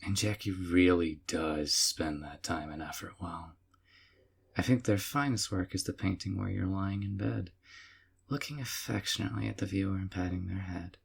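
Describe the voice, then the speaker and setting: A man playing a gravelly doting yandere captor. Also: low voice, normal speed, exaggerated voice. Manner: sweet, possessive, softly teasing. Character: doting obsessive young male yandere captor. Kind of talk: audio roleplay.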